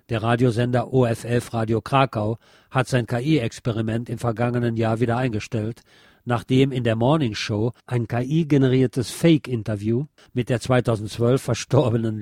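A man narrating a scripted journalistic essay in a measured, formal read-aloud delivery. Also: mid-range voice, dark tone, dry recording, clean studio sound.

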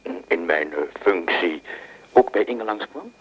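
A man's voice: sits low, animated, a little slow, a little hiss or hum. A man playing a polite, playfully solemn young man making a phone call in a radio drama. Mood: polite, hesitant.

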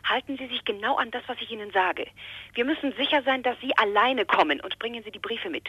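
Stern militant contact in a radio drama, clipped and threatening, sharp tense voice, bit rough. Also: female voice, on the high side, quick pace, muffled recording. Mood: cold, commanding. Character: stern, commanding female contact of a militant group. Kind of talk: radio play acting.

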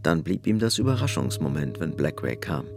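A man's voice: a bass voice, big pitch swings, moderate pace, creaky, soft background music. A man narrating a scripted German radio drama.

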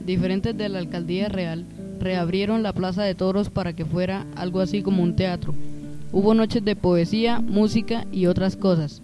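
A man's voice: high-pitched, close to an everyday voice. A man with a Colombian accent playing a friendly young local in a radio drama, explaining things casually. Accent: Colombian Spanish. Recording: music bed under the voice.

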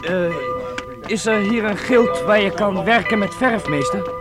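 An eager, dreamy medieval boy in a radio play, hesitant and full of admiration. The voice is high, and music plays clearly behind it. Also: unhurried. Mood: shy, hopeful. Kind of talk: Dutch radio play acting.

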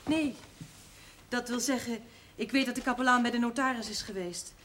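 A woman's voice: fairly high pitch, moderate pace, fairly bright tone, lightly acted. A woman playing a farmer's dutiful but outspoken young daughter, polite yet frank, in a television drama. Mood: tense, reproachful. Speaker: actress in a television drama series. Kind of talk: naturalistic period television drama acting. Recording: noisy old television soundtrack.

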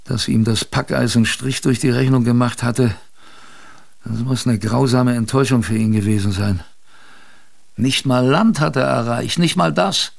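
Wistful older storyteller, gravelly creak, mid pitch, reflective conversational narration, radio drama. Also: male voice, moderate pace. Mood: nostalgic. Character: reminiscing older man recalling his youthful polar adventure.

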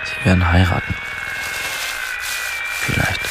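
An actor playing an insecure young factory worker's inner voice in a radio drama, often in half-whispered asides. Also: male voice, slow pace, very noisy, lightly acted. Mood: bitter. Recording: prominent music behind.

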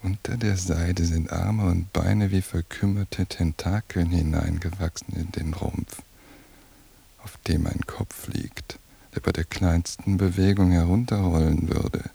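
An actor playing a cold, contemptuous surveillance watcher in a radio drama monologue, with a detached, deliberate delivery and a husky voice. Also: male voice, sits low, heavily acted. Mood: cold disgust. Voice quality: gravelly, dark, husky. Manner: slow, flat, detached, deliberate. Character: cold, contemptuous male surveillance watcher describing his target.

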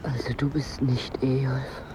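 A woman's voice: rumbling low, flat delivery, unhurried, noisy background. An actress playing a married woman on holiday in a radio drama, wistful and coolly wry, speaking in an understated, musing way. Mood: quietly hopeful. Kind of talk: intimate radio drama acting.

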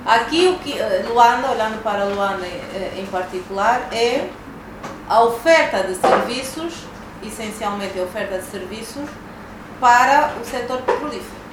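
A woman's voice: a little high, expressive, normal speed. A woman arguing hard in a public debate, repeating words for emphasis. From Angola. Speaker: debate speaker. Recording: echoey room from far off, noisy.

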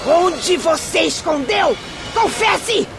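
A shrill, furious mother. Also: expressive, slow pace, music in the background, exaggerated voice. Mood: furious scolding. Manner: shrill, scolding, shouting. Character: harsh, abusive middle-aged mother. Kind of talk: radio drama acting.

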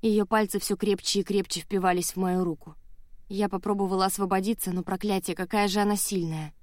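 A woman's voice: mid-range voice, fairly even intonation, a little fast, darkish tone, no room sound. A woman audiobook narrator reading a dramatic first-person novel, close on the mic in a studio.

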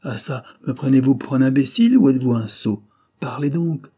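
Storybook doctor, middle-aged man, voiced by a male narrator: bossy yet kindly, blunt questioner, husky tone. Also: a little high, moderate pace, warm tone, pitched above the performer's own voice. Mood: impatient, insistent. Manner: curt, commanding, firing questions.

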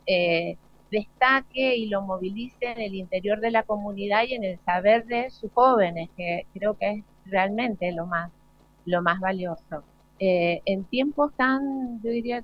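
Reflective Argentine woman, dull lo-fi sound. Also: mid-range voice. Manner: deliberate, hesitant, reflective. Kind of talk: reflective unscripted panel talk. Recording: dull lo-fi live broadcast.

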